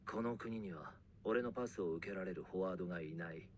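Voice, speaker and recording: An actor playing a cool, aloof young soccer prodigy in an anime. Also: male voice, sits low, quick pace, slight background noise, close to an everyday voice. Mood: cold disdain. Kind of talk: anime voice acting.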